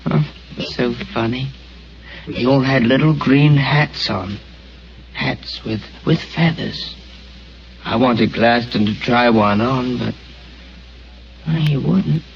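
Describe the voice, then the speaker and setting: Brief: a stammering, childlike dreamer in an old radio drama, slow and muffled, with faint hiss. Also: male voice, mid-range voice, gravelly. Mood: dreamy. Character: gentle, simple-minded, dreamy young man. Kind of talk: 1940s radio acting.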